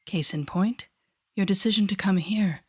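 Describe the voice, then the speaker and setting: A woman playing a sardonic, menacing telepathic villain in an audio roleplay, mocking and theatrical with deliberate pauses and a husky, breathy voice. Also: mid-range voice, a little mellow, lightly acted. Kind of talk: audio roleplay acting addressed to the listener.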